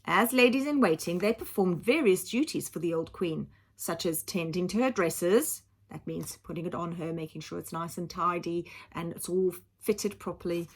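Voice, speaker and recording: A woman's voice: fairly low pitch, normal speed, few pauses. A woman reading a children's storybook aloud like a teacher, with dramatic emphasis and simple explanatory asides.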